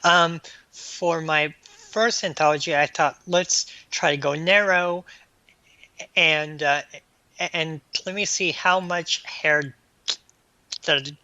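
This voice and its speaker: A man answering as an interview guest in a halting, stammering way, often repeating sounds and words. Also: a little high, pushed and bright, dry recording, lo-fi sound. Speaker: guest author and anthology editor.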